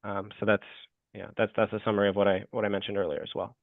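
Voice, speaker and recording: A man's voice: fairly low pitch, level tone, fast, gravelly, no echo. An American man answering questions in a webinar, speaking hesitantly with many fillers and restarts. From United States. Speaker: lender representative answering questions. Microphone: video call. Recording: phone-like call audio.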